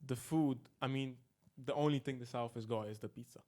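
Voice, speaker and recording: A young man from northern Italy chats as a podcast guest. His voice sits at a medium pitch, and he talks in an informal, conversational way. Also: a little fast, a little mellow. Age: young adult. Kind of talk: casual conversation.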